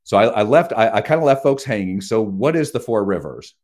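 A male radio host with a deep, low-pitched voice leads a friendly, conversational interview. He speaks with easy fillers and a relaxed interviewer's flow. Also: brisk.

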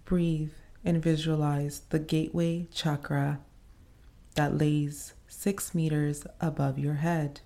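Woman doing guided meditation, really slow and soothing, mellow low voice. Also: flat delivery, vocal fry. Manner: soothing, unhurried, pausing between short phrases.

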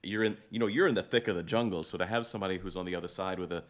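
A man's voice: deep voice, racing. A man hosting a conversational interview, thoughtful and reflective, repeating and rephrasing as he goes. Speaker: podcast host, interviewer.

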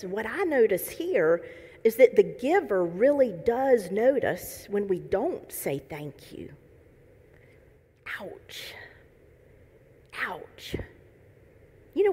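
A woman's voice: high-pitched, a little mellow, slightly rough. A woman pastor giving a sermon, conversational and lightly humorous as she reads scripture and tells a story. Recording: long hall reverb.

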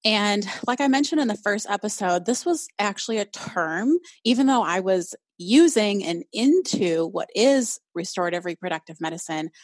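A woman's voice: medium pitch, expressive, moderate pace, few pauses, no echo. Upbeat chatty woman podcast host. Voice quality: slightly nasal from allergies. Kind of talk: casual solo podcast.

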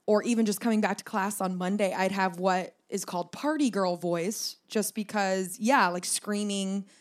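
A young woman with a slightly raspy low tone, co-hosting a podcast in a rambling, joking chat. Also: moderate pace. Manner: rambling, laughing, swears casually. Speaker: podcast co-host. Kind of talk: casual chat.

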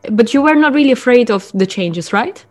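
A woman hosting a podcast interview, upbeat in greeting and halting with restarts when asking questions. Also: a little high, lively intonation, a little mellow, faint music behind. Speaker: podcast host.

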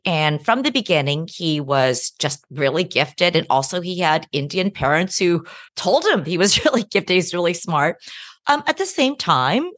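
A middle-aged woman, a law professor and author, answering interview questions in an animated way and laughing often. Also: fairly low pitch, no room sound, clean studio sound. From United States.

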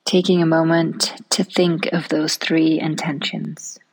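A woman leading a guided relaxation meditation in a soft, soothing manner, often trailing off into a near-whisper. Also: low voice, level tone, a little slow, creaky, slightly rough, poor audio.

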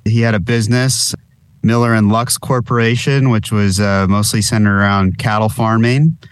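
Man, podcast host, low voice, dry close sound, faint music behind, filler-heavy casual chat. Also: steady tone, moderate pace, clean tone, no echo.

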